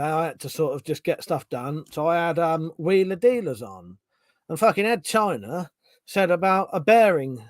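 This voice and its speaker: Middle-aged male streamer, casual and sweary, animated pitch, dry close mic. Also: dry recording. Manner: chatty, swears casually, restarts sentences. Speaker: streamer reading chat. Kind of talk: casual live-stream chat.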